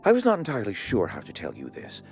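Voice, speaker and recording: An actor playing a pompous, courteous company administrator in a video game, speaking in a formal, wordy, officious way. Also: male voice, fairly low pitch, wildly swooping pitch, fast, darkish tone, faint music behind. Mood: grave, earnest. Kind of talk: video game character acting.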